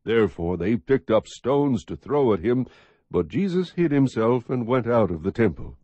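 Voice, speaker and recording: A man narrating scripture as an audiobook, reading in a measured, expressive, husky voice. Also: medium pitch, no echo, muffled recording.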